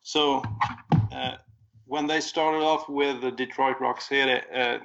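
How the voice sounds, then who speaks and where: A man with a Swedish accent chats casually on a podcast, his voice gravelly with fry. He rambles and corrects himself as he recalls things. The audio sounds dull and lo-fi. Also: medium pitch, fairly even intonation, takes it slowly, a bit bright. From Sweden. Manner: rambling, self-correcting recollection. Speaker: podcast co-host. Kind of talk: casual chat.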